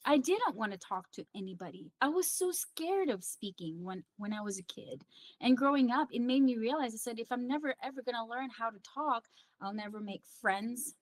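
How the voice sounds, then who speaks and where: Woman, Pangasinan first language, Filipino-raised chat-show co-host; fairly high, dry close sound, reflective advice-giving delivery. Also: animated, moderate pace, dry recording, low-quality recording. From Philippines. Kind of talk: casual chat.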